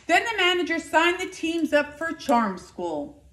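A woman in her fifties reading a children's picture book aloud indoors, with expressive, deliberate, emphatic delivery. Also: very high voice. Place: living room by a fireplace, indoors. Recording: roomy, echoing indoor sound.

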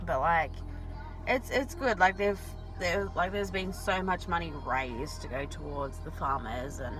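A woman's voice: on the low side, measured pace, a bit bright, soft background music, low-quality recording. An Australian woman in her thirties chatting casually while driving, rambling with lots of fillers and casual swearing, recorded on a dashboard camera inside a car. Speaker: vlogger.

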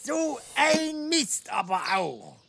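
A woman's voice: high-pitched, sing-song, takes it slowly, gravelly, background noise, full character voice. A woman voicing a cheeky, grumbling little male earthworm with childish, exclaiming delivery in a children's educational cartoon. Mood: indignant.

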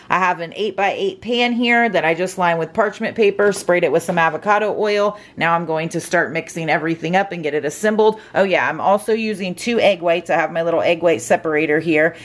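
A middle-aged woman narrating her home cooking in a chatty, upbeat way, explaining each step as she goes. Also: lowish voice. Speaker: cooking vlogger. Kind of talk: casual voice-over cooking narration. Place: home kitchen.

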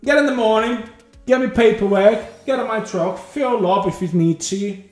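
A man's voice: sits high, normal speed. A man who drives trucks, hosting a casual chat and acting out what people told him in bursts. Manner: animated, talks in bursts, acts out dialogue. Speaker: trucker and channel host. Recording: echoey room with faint music.

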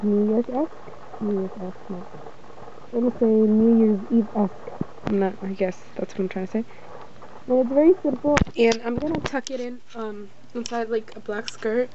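A woman's voice: on the high side, warm tone. Young woman, American accent, casual vlog chat, rambling, dull handheld camera sound, faint background noise. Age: young adult. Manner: informal, rambling, frequent "like". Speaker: vlogger. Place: small rooms at home, closet and bedroom. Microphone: handheld camera at arm's length.

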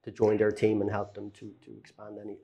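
A man's voice: on the low side, completely flat delivery, fast talker, dark tone, muffled recording. A middle-aged man as a guest in a studio chat, talking casually with lots of fillers and repeated words, on a lapel mic. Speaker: guest HR consultant. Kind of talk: casual chat on business news. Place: indoor studio with brick wall.

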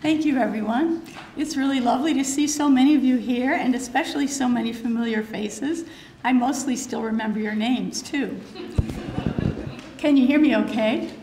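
Hoarse, gravelly woman in her 60s, curator keynote, roomy hall sound, faint room noise. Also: high voice. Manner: measured, slightly halting delivery with fillers. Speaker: curator giving a keynote lecture. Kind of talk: prepared lecture. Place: lecture hall with wood paneling. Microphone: podium microphone.

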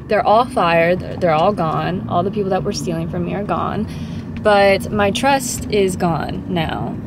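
A young woman in her twenties talking to her camera at arm's length while sitting in a car, chatty and relaxed about her busy day. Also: mid-range voice, noisy background. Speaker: vlogger, salon owner. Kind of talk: casual vlog chat. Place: inside a car. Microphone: camera held at arm's length.

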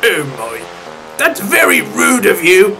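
A man's voice: high voice, lively intonation, drawn-out words, over music, pitched above the performer's own voice, exaggerated voice, poor audio. A man voicing a polite, naive male engine in a children's story, courteous and hesitant. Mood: offended. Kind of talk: children's storybook character acting.

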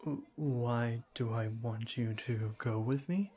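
A man playing a shy young classmate in an ASMR roleplay, speaking formally and stiffly with hesitant stammers and a cool, deadpan manner. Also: mid-range voice, takes it slowly, breathy edge. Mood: awkward, nervous. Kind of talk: ASMR roleplay, scripted one-sided dialogue.

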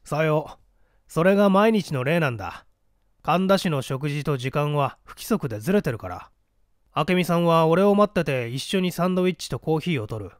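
A man's voice: on the high side, lively intonation, normal speed, no room sound, lightly acted. Guy playing a touchy blunt reporter in an audiobook, curt, swingy intonation, dry recording. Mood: recounting. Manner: curt, defensive. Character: blunt, hot-headed male newspaper reporter and witness. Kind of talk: dramatic storybook reading of a mystery.

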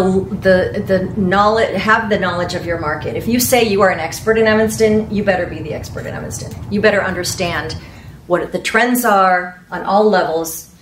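A woman's voice: mid-range voice, moderate pace. A woman in her forties from Ecuador, a real estate agent giving earnest answers in a panel interview, with Spanish as her first language. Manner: earnest, gestures while explaining. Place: meeting room in front of a large screen. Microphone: far camera mic. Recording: echoey room from far off, faint noise.

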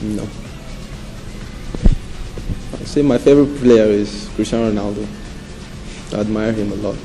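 A man's voice: fairly low pitch, unhurried, mellow, soft background music, low-quality recording. A young man answering interview questions indoors in an easygoing, modest way. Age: young adult. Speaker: interviewee, footballer's son. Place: indoor room.

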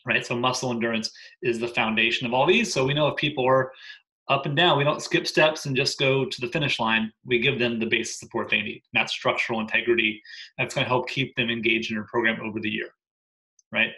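A man in his thirties, a gym programming coach, briefing gym owners from a living room on his laptop webcam, emphatic and energetic with frequent "right?" asides. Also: mid-range voice, brisk, low-quality recording.

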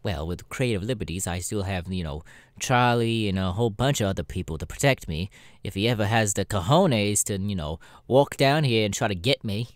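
Up close and dry, a man plays a sassy, cynical demon showman in an ASMR roleplay. His pitch swings widely as he drawls through casual, irreverent chat with hesitant pauses. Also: lively intonation, no echo, natural voice. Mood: defiant, smug. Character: sassy, cynical male demon adult performer.

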